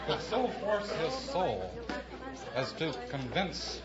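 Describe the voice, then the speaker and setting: A well-spoken male contestant with a lively, formal delivery and a medium-pitched voice. He is heard on a muffled vintage radio quiz, with a loud studio audience around him. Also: slow, a loud crowd.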